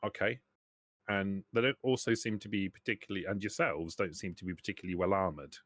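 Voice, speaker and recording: A man acting as game master, narrating and bargaining in a halting way that repeats words. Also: low-pitched, a bit bright, dry recording, studio quality. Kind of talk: improvised tabletop game narration and negotiation in a trader's role.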